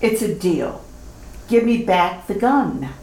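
A woman's voice: on the low side, animated, takes it slowly, darkish tone. A woman playing a coy, sugary and coolly murderous bride in a retro radio mystery. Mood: cold, businesslike. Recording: roomy, distant, slightly noisy recording.

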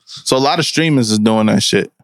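Gravelly laid-back man. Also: lowish voice, brisk. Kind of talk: casual chat.

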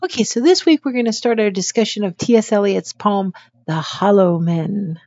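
A woman's voice: mid-range voice, moderate pace, a little mellow, highs cut off. A woman co-hosting a podcast in casual, agreeable conversation. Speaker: podcast co-host.

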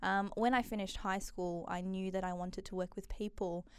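A woman's voice: medium pitch, steady tone, moderate pace, smooth, no room sound. A young woman from Malaysia, chatting as an interview guest, with frequent um and uh fillers. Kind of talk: casual interview conversation.

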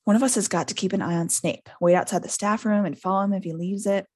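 A man's voice: sits high, steady tone, fast, darkish tone, breathy edge. A woman voicing an earnest schoolboy hero with an urgent, insistent manner in a storybook reading.

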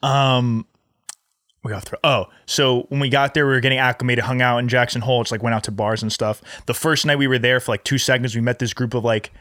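A man's voice: mid-range voice, steady tone, brisk, a bit bright, dry recording, studio quality. A young American man telling anecdotes in a rambling, informal way as a podcast co-host. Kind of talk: casual anecdotal chat.

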